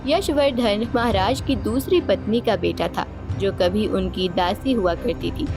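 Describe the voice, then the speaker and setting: A woman narrating a scripted cartoon story. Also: medium pitch. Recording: music under the voice. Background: background music.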